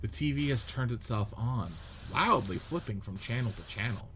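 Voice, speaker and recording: A man narrating stage directions in a measured, matter-of-fact way during a radio play script reading. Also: mid-range voice, lively intonation, a bit bright, slight background noise.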